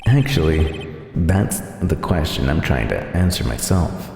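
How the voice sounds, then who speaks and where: A man's voice: extremely low pitch, very animated, measured pace, gravelly, a little mellow, reverberant, long reverb tail, faint music behind, voice pushed down, full character voice. A man voicing an earnest young defense lawyer in a video game, musing in a dry, matter-of-fact way. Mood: thoughtful, determined. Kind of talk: reading game dialogue in character.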